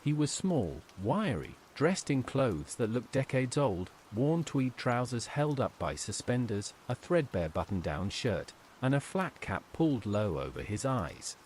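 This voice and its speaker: A man's voice: medium pitch, big pitch swings, unhurried, few pauses, darkish tone, slight background noise. A man reading a scripted first-person horror story as its narrator.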